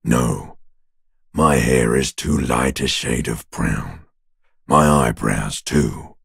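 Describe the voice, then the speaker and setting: A man voicing a bloated, bitter talking corpse in a video game, with a gravelly rasping growl and a slow, taunting, insinuating manner. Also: a bass voice, no room sound, studio quality, heavily acted. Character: bloated talking male corpse, bitter, mocking, world-weary. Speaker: voice actor. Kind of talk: video game character voice lines.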